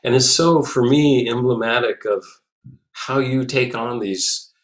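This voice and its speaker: A man hosting a conversation, responding reflectively in halting phrases with repeated words. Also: mid-range voice. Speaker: host of the conversation.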